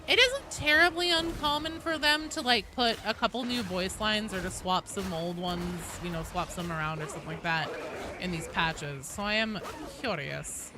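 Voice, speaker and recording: A woman's voice: medium pitch, moderate pace, over music. A woman in her late thirties chatting casually on a game livestream and reading out viewer messages, informal and sweary. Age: late 30s. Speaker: game streamer.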